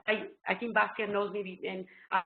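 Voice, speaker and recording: A woman's voice: mid-range voice, normal speed. Mexican-accented woman on a tinny call. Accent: Mexican Spanish. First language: Spanish. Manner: excitable, explanatory, full of ums. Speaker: webinar presenter. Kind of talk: academic webinar presentation. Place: small indoor room. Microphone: laptop webcam video call. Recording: tinny phone-like echoey webcam call.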